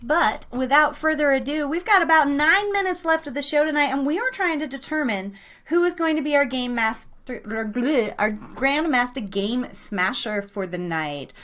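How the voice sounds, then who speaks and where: A woman with a high voice hosts a live radio show. She chats casually and playfully with her listeners, keeping things informal and a little self-deprecating. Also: expressive, normal speed, fairly bright tone.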